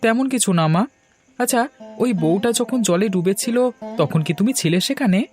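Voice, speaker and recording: A woman's voice: medium pitch, lively intonation, moderate pace, close to an everyday voice. Cartoon role: curious, brooding village boy with questioning manner, played by a woman, light music bed. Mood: troubled. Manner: questioning, wondering. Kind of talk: Bengali fairy tale cartoon acting. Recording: music under the voice.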